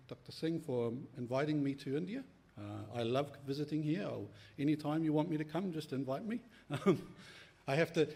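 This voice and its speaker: A middle-aged man from New Zealand speaks with a New Zealand accent, his voice gravelly and edged with fry. He presents a conference workshop in an easy, unhurried manner, often pausing on "um" and "uh". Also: medium pitch. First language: Māori. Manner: relaxed, friendly, with many ums and uhs. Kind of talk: conference talk.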